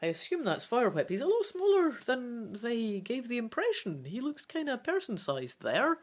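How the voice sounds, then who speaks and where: A woman's voice: on the high side, huge pitch swings, moderate pace. A woman presenting a gamebook playthrough, switching between theatrical reading and chatty casual commentary. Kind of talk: dramatic reading aloud mixed with casual commentary.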